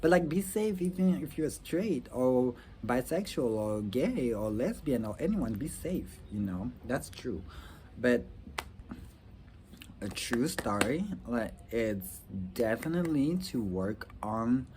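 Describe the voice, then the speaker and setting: A young man from Rwanda talking earnestly about his future plans in a personal vlog, with halting restarts and many fillers. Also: medium pitch, big pitch swings, darkish tone, slight background noise, rough recording. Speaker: vlogger. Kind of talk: personal reflective talk to camera.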